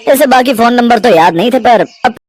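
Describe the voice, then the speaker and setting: A woman voicing a calm, reassuring male stranger in a family story, speaking politely and steadily. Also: a little high, a little fast, pitched below the performer's own voice. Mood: kindly.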